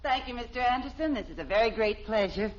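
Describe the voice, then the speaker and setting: A woman's voice: fairly high pitch, animated, fast, natural voice. An American actress playing a middle-aged, gushing, sweetly polite lady visitor in an old radio sitcom. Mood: gracious. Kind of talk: 1950s radio sitcom acting. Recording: very noisy, muffled old radio recording.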